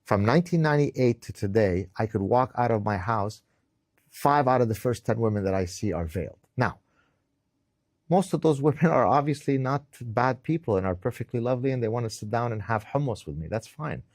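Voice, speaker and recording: A man's voice: mid-range voice, expressive, fairly quick, darkish tone, no room sound, clean studio sound. A middle-aged man from Lebanon, giving emphatic interview answers, often repeating words as he starts and tagging points with "right?". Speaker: interview guest, author. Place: indoors.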